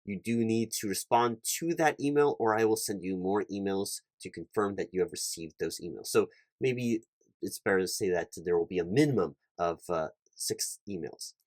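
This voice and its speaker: A man's voice: lowish voice, moderate pace, darkish tone, no echo, close to an everyday voice. A man playing an officious, polite recruiting manager in a one-sided ASMR roleplay, talking in a wordy, hesitant way and repeating himself. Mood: officious, explanatory. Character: officious, rambling male corporate recruiting manager, polite and awkward.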